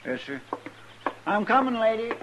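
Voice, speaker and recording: An actor playing a folksy, deferential deputy sidekick with rustic speech in a dull, noisy vintage radio western. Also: male voice, high voice, big pitch swings, moderate pace. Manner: drawling rustic grammar, folksy. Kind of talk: 1950s radio drama acting.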